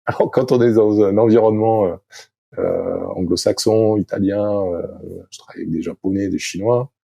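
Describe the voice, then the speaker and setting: Low gravelly man, halting speech. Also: warm tone, no echo. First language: French. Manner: halting, many fillers and restarts. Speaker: guest, sailing coach. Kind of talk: podcast interview, thinking aloud.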